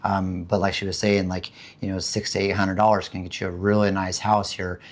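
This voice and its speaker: A man from the United States with a hoarse voice after being sick, chatting casually and rambling about living costs, with many fillers and restarts. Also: sits low, level tone, fairly quick. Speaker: vlogger. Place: home in the Philippines.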